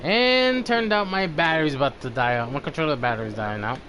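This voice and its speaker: A man's voice: on the high side, a little fast, background noise. A man giving casual live gameplay commentary, informally muttering to himself and swearing. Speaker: game streamer.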